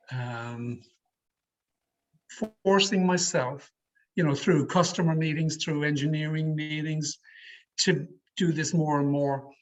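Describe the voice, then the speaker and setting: A middle-aged man with an Irish accent answering questions as a public speaking coach, deliberate and emphatic, over an online call. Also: fairly high pitch, dull audio. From Ireland. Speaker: speaker leading a public speaking education session. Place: online video meeting.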